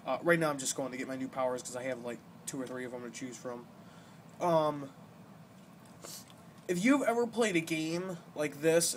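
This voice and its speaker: A young man giving casual gameplay commentary, explaining things in a halting, rambling way as the host of a gaming video. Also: on the high side. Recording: noisy amateur home recording.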